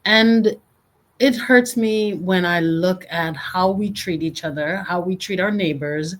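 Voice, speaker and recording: A woman hosting an interview, asking measured, thoughtful questions. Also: on the low side. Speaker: host.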